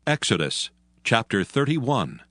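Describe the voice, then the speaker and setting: A man's voice: medium pitch, very animated, a little slow, fairly bright tone. A man narrating a dramatized scripture reading in a solemn, formal, scripted manner. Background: music.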